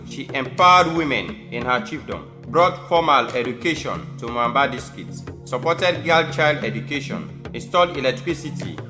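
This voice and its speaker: A man reading scripted narration for an audio drama. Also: medium pitch.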